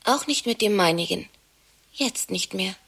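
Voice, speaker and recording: An actress playing a complaining, insistent middle-aged half-sister in a radio play, with a husky, gravelly voice. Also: female voice, medium pitch, expressive, unhurried, a bit bright, exaggerated voice. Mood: grudging. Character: woman, half-sister, plaintive and grudging.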